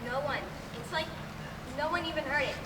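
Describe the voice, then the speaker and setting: A woman's voice: high voice, fairly quick, close to an everyday voice. A young woman playing a baffled radio broadcaster in a school stage play, recorded from far off in a noisy room. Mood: puzzled. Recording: distant roomy stage recording, clearly noisy.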